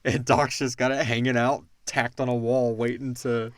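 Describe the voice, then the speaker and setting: A man's voice: mid-range voice, little pitch movement, moderate pace, dry recording. A man co-hosting a casual question-and-answer podcast about an audio drama, informal and slangy as he thinks aloud.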